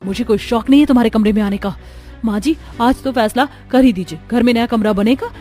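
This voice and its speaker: Woman playing a nagging, high-voiced young cartoon daughter-in-law. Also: a little fast, dark tone, soft background music, lightly acted. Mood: angry. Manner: nagging, indignant. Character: Sheetal, aggrieved young daughter-in-law, argumentative and sarcastic. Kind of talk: Hindi family cartoon dialogue.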